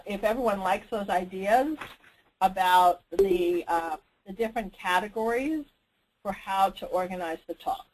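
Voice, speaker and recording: A woman's voice: mid-range voice, a little mellow. A woman leading a group conference call as organizer, talking informally and tentatively, thinking aloud and checking in with the others. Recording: noisy conference call line.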